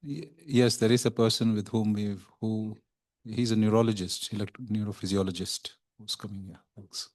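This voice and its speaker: Man, English accent, lowish level voice, polite introduction. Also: normal speed. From England. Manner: polite, steady self-introduction. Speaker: workshop participant.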